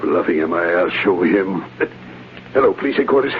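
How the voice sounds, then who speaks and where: An actor playing an indignant, pompous government commissioner in a blustering vintage radio drama style. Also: male voice, low voice, lively intonation, moderate pace. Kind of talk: 1930s-40s radio drama acting. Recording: muffled, hissy vintage radio broadcast.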